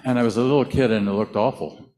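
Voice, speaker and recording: An older American man giving a calm talk in short phrases before a hall audience, pausing for an interpreter. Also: medium pitch. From United States. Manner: calm, speaks in short phrases, laughs lightly. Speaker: speaker. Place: large hall with audience, indoors. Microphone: handheld mic.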